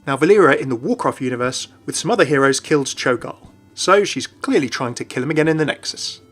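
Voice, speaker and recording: A man's voice: medium pitch, animated, fast, a bit bright. A man hosting a video game channel, reading a scripted explanatory narration. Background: background music.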